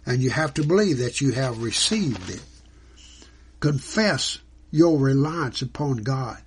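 A man's voice: medium pitch, animated, a little slow, a little hiss or hum. A man preaching in an earnest, devout way, teaching as the host of a religious program. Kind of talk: sermon teaching with scripture quotes and closing prayer.

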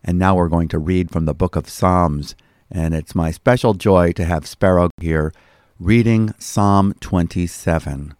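A man reading a scripted devotional Bible commentary as a pastor, with a measured, teaching delivery. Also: sits low, a little mellow, clean tone, dry recording, studio quality.

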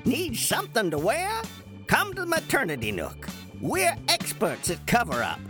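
A man's voice: very high voice, huge pitch swings, moderate pace, over music, full character voice. A man playing a brash, pushy salesman in a parody radio commercial. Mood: brash, peppy. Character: brash, high-pitched salesman in a parody shop advert.

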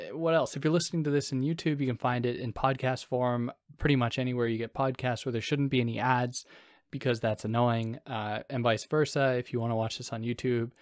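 A man hosting a casual solo podcast, explaining philosophy in a soothing, relaxed, rambling way with self-deprecating asides. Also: medium pitch, no echo, studio quality. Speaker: podcast host.

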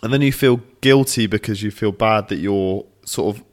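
Laid-back man, low voice. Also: normal speed. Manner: laid-back, playfully mocking. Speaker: podcast co-host. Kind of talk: casual chat.

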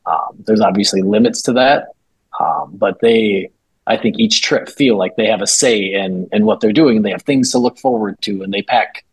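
A man guesting on a podcast, telling travel stories in a relaxed, conversational way. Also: lowish voice, moderate pace, breathy edge, dry recording, low-quality recording. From Minnesota, USA. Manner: relaxed storytelling with fillers. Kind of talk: casual conversational interview.

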